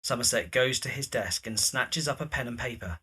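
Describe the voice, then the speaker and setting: Audio drama narrator, male: mid-pitched, bright, scripted storytelling delivery. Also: brisk, breathy edge.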